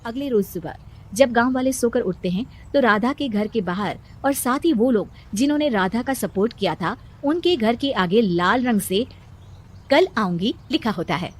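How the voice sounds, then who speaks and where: A woman narrating a storybook cartoon in a steady storytelling manner. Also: fairly high pitch, moderate pace.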